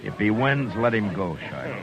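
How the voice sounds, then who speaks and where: An actor playing a steady frontier marshal who talks firmly and commandingly, on an old radio transcription. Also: male voice, on the low side, moderate pace, muffled recording, a crowd in the background. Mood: stern. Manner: firm and commanding, gruff warmth with friend.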